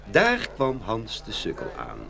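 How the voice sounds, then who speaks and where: Very animated male fairy-tale storyteller. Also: on the low side, measured pace, gravelly. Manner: expressive storytelling. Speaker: narrator. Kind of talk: scripted fairy-tale narration.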